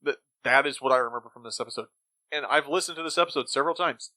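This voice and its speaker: A man's voice: medium pitch, very fast, bright, forceful tone, no room sound. A man co-hosting a podcast, giving his opinions in casual discussion. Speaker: podcast co-host. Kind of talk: casual critical discussion of old radio shows.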